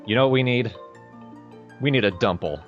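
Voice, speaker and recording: A man's voice: medium pitch, animated, moderate pace, lo-fi sound. A man hosting a let's play, chatting casually and dryly with a co-host and swearing freely. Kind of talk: casual gameplay commentary with a co-host. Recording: game music under the voice. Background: video game soundtrack.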